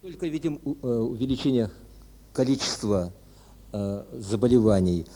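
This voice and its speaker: A man giving halting interview answers as an official, pausing between phrases. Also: mid-range voice, expressive, heavy background noise.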